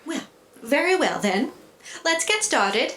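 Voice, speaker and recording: Actress doing a prim proper lady, real high voice, earnest n bossy-polite, echoey stage radio drama. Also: very animated, slow, sharp tone, exaggerated voice. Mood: determined, insistent. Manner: formal, polite, earnest, hesitant then insistent. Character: prim, determined, proper missionary-type spinster lady. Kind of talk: live radio play acting. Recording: echoey live stage, noticeable room noise.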